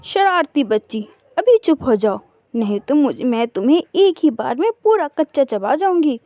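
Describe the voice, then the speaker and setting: A woman's voice: high voice, big pitch swings, moderate pace, warm tone, dry recording. A woman voicing a big female bird of prey in a cartoon story, bossy and scolding yet motherly. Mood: scolding, menacing.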